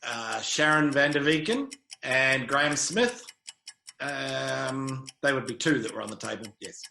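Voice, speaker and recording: Bright-voiced New Zealand man, dry answers. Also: mid-range voice, measured pace, creaky, a little hiss or hum. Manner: dry, short good-humoured answers with hesitant fillers. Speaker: interview guest, travel sales manager. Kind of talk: casual interview answers.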